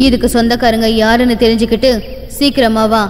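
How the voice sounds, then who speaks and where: A woman voicing a stern but reassuring old sorceress grandmother in an animated horror bedtime story, giving firm orders and solemn incantations. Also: a little high, fast, in a large hall, heavy background noise, close to an everyday voice. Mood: commanding.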